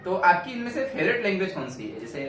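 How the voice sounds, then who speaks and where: Man interviewer, slightly high voice, affirming, speaks to audience, echoey room, distant mic, noisy background. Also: big pitch swings, fast talker, a bit bright. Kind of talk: casual interview questions.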